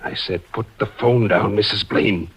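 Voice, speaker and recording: An actor playing a cold, commanding murderer who makes smooth threats in a 1950s radio thriller. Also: male voice, fairly low pitch, moderate pace, a bit bright. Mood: cold menace. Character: cold, menacing male murderer holding a woman at gunpoint. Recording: dull, muffled old radio recording.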